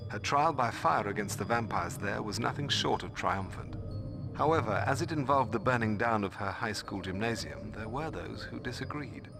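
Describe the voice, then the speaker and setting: An actor playing a learned, solemn male mentor in a video game, narrating lore in a measured, formal way. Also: medium pitch, vocal fry. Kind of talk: scripted storytelling narration.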